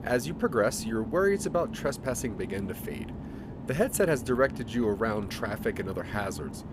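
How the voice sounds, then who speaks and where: A man with a fairly low voice narrates an audio drama, speaking straight to the listener as the main character. His pitch moves a great deal, giving the deliberate storytelling a dramatic, animated shape. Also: background noise. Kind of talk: scripted second-person story narration.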